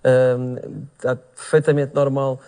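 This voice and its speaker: A man's voice: medium pitch, level tone, measured pace, dark tone, clear, resonant tone. A man moderating a televised debate, asking probing questions in a conciliatory way. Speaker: TV debate presenter.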